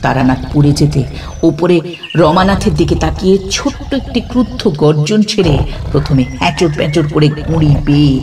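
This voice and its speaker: A man narrating a horror story dramatically, building suspense with pauses between short phrases. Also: fairly high pitch, far from the mic, background noise.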